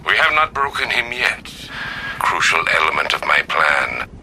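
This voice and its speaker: An actor playing a calm, theatrical villain mastermind who preaches fear with slow, ominous deliberation in an audio drama. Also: male voice, deep voice, exaggerated voice, poor audio. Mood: cold, patient. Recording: heavy background noise.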